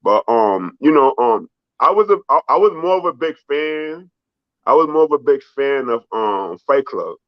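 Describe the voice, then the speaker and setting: A man from the Bronx with a New York accent, whose first language is Spanish, talking in a relaxed, rambling way full of fillers as a guest. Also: mid-range voice, normal speed, no room sound, highs cut off. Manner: relaxed, rambling, heavy fillers like "you know" and "um". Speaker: documentary filmmaker guest. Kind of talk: casual interview.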